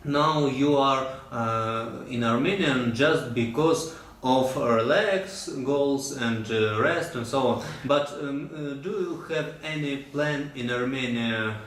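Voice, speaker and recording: A man interviewing guests in hesitant, non-native English, with many pauses and fillers. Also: medium pitch, a bit bright. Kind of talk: interview questions. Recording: very echoey room, distant mic, faint ambience.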